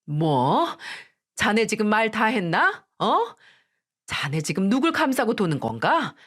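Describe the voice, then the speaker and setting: A woman's voice: mid-range voice, very animated, slow, voice pushed up, heavily acted. A woman voicing a furious, menacing older mother. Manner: shouting, menacing. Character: furious older mother, threatening son-in-law.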